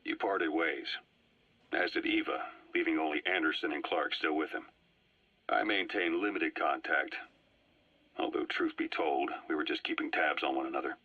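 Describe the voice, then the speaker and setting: A dull, echoing cassette tape carries a composed Soviet spy from a video game. His husky voice recounts history slyly and deliberately, pausing for drama. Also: a bass voice, exaggerated voice. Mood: measured, reflective. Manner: measured, deliberate, with dramatic pauses. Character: sly, composed Soviet spy gunslinger, a measured storyteller. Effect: cassette tape filter.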